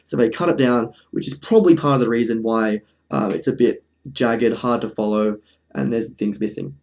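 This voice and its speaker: A man's voice: mid-range voice, normal speed, a little mellow. A man presenting casual film commentary in an informal, chatty, slightly wry way. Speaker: presenter of a film review video essay.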